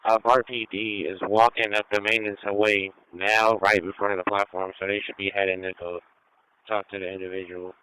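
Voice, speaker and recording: A man's voice: fairly low pitch, flat delivery, a little fast, pushed and bright, smooth, no room sound, poor audio. A man working as a train operator, giving field reports over a two-way radio. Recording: dry transit radio transmission.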